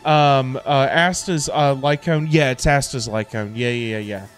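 A man's voice: mid-range voice, unhurried. A middle-aged American man hosting a casual gaming livestream, rambling and repeating himself in loose slang. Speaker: livestream host, gamer. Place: indoor home streaming setup. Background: background music.

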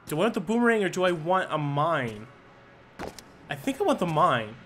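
A man giving let's-play commentary, thinking aloud and bursting into excited exclamations. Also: on the high side, normal speed, a little hiss or hum. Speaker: gaming commentator. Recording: game music under the voice. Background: game music.

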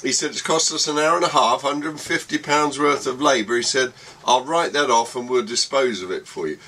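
A man's voice: mid-range voice, fairly quick, bright, forceful tone. A man in his sixties co-hosting a sailing vlog, telling stories with mock indignation and teasing banter inside a small yacht cabin. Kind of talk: casual banter.

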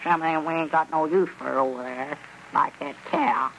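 An actor playing a gullible, excitable old country storekeeper in a radio comedy, with a folksy rural Southern drawl. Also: male voice, fairly high pitch, normal speed, creaky. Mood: grumbling. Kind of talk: 1930s-40s radio comedy acting. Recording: noisy vintage radio recording.

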